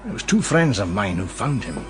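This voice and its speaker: A man's voice: fairly low pitch, very animated, a little fast, fairly bright tone, soft background music. A man acting a courteous, shrewd London investigator with a British accent in a radio drama, polite and insinuating. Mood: probing, smooth.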